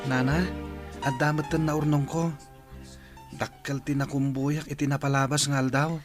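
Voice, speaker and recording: An actor playing an eager, polite young son in a radio drama. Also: male voice, mid-range voice, little pitch movement, moderate pace, over music, natural voice. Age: young adult.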